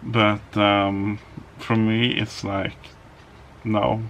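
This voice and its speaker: Norwegian man, vlogger; hesitant, low and even delivery, unhurried. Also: slight background noise. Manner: hesitant, many ums and restarts. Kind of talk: casual vlog monologue.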